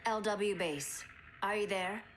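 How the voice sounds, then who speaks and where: An actress playing a practical, firm but encouraging doctor and mentor in a video game. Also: female voice, medium pitch, slow, background noise, lightly acted. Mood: steady, reassuring.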